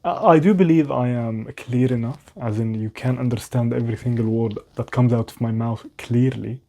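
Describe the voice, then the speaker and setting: A young man speaks English with a clear foreign accent in a mellow, relaxed voice. He rambles candidly to the camera and pokes fun at himself. It is a casual vlog from a small room at home. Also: medium pitch, normal speed. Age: young adult. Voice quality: non-native English accent. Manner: rambling, self-mocking, restarts often. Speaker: vlogger.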